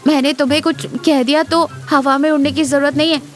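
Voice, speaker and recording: Woman voicing a high-pitched scolding village wife. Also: steady tone, normal speed, natural voice. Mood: flustered, snappy. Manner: scolding, exasperated. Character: sharp-tongued village wife, scolding yet secretly loving.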